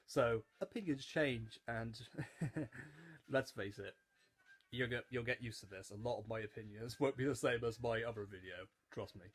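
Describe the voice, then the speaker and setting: Young man rambling, slightly husky voice. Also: mid-range voice, normal speed. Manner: rambling, stop-start, repeats phrases. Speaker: YouTube reviewer. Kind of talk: casual rewatch commentary on a children's show.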